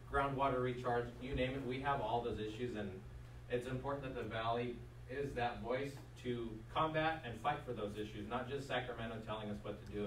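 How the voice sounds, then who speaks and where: A man giving short, courteous and informal remarks at a public meeting as a political representative. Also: mid-range voice. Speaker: district representative for a state senator. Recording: echoey room, distant mic, faint noise.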